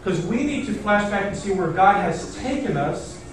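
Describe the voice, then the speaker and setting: A man's voice: high-pitched, animated, normal speed, recorded from a distance. A man in his thirties preaching casually as a pastor, chatty and informal, engaging his listeners with questions. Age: 30s. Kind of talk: casual sermon to a congregation. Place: indoor church stage in front of seated audience. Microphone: far camera in the audience. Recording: very echoey hall from afar, faint noise.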